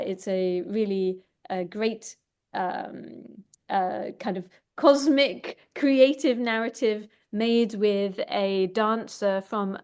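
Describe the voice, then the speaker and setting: Woman, discussion host. Thoughtful, informal moderating with halting, searching delivery; mid-pitched voice. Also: a little mellow, clean tone. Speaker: host and moderator of an online talk.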